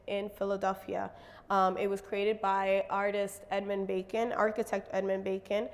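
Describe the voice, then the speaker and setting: A woman with a slightly raspy voice presents her artwork to an audience, pausing often with fillers. Her pitch stays fairly flat. The sound carries the long reverb of a large hall. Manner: halting, many fillers. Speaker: visual artist presenting her work. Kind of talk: stage presentation.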